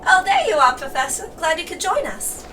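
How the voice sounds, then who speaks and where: A woman's voice: very high voice, huge pitch swings, fairly quick, distant mic, background noise, full character voice. A woman playing a cheerful, kindly, gushing wife on holiday in an audio drama. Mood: cheerful, chatty. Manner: gushing, friendly, effusive.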